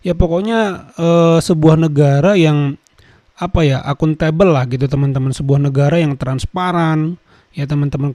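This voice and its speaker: Mellow, dry-voiced male commentator. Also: fairly high pitch, dry recording. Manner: emphatic, repeats phrases, addresses viewers often. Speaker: host. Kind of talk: commentary to viewers.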